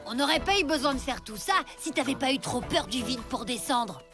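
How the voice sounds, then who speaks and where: A woman playing a reluctant young girl in an animated children's cartoon. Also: high-pitched, fairly quick. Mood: reproachful. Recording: faint music behind the voice.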